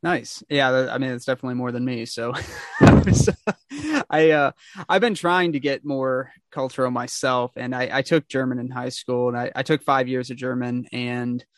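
A man's voice: medium pitch, fairly even intonation, moderate pace, dry recording. A young man hosting a casual interview, chatty and upbeat, rambling with restarts, over a video call. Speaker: podcast host.

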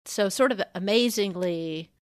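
A woman's voice: mid-range voice, a little slow, no echo, studio quality. A woman co-hosting a podcast, talking in a wry, informal and conversational way. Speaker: guest podcast co-host. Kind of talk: casual podcast discussion.

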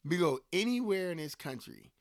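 A man's voice: sits high, normal speed, no room sound, clean studio sound. A man with a Philadelphia area accent, co-hosting a casual book-club chat and telling stories with swearing and lots of fillers. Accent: Philadelphia (Delco). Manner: animated storytelling, swears casually, many fillers. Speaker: podcast co-host.

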